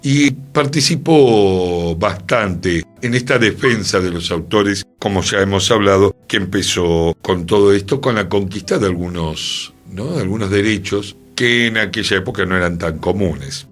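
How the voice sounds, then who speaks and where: A man with an Argentine accent from Buenos Aires, hosting a radio talk on the arts in an expressive, theatrical way. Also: deep voice, measured pace, hardly any pauses. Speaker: radio host. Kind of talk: radio talk on theatre history, reading quotes aloud. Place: radio studio. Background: faint music.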